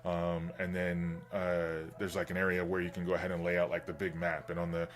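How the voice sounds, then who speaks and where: A man hosting a livestream in casual, rambling, enthusiastic chat, his voice slightly hoarse from getting over being sick. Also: low-pitched, completely flat delivery, brisk. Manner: enthusiastic, informal geek talk. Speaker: livestream host.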